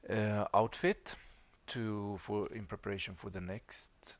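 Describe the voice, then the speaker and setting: A man's voice: on the low side, steady tone, takes it slowly, dry recording. A man hosting a gaming video with casual let's play commentary, thinking aloud as he plays. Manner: thinks aloud while playing.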